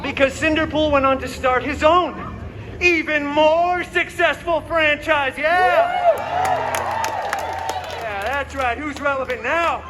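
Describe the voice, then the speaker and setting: Guy doing a super high cocky wisecracking antihero telling a fairy tale, sarcastic, live stage show with crowd noise outside. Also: takes it slowly. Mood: boastful, sarcastic. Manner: sarcastic, self-mocking asides. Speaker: costumed character performer hosting live story show. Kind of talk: theatrical comedic storytelling to a live audience. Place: outdoor theme park show, filmed from audience. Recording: noisy outdoor crowd recording of live show.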